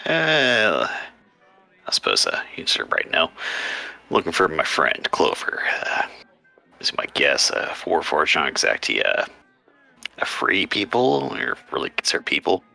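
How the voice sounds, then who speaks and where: Deep gravelly man, halting delivery. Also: wildly swooping pitch, sharp tone, faint music behind. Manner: halting, many fillers. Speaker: player. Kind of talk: playing a tabletop game in character.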